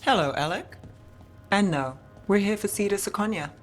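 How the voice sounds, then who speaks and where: Cool female investigator for a game, voiced by an actress: terse, dry delivery, clear music underneath. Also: mid-range voice, lively intonation, normal speed, close to an everyday voice. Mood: dry, guarded. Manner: terse, dry, matter-of-fact. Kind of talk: video game acting.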